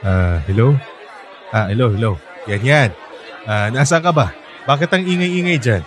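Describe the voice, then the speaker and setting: A man's voice: medium pitch, normal speed, a faint crowd, natural voice. A man playing a stern overseas father. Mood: anxious. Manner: scolding, stern, exasperated. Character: worried strict father working abroad. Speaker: father on a long-distance call. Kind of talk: radio drama acting.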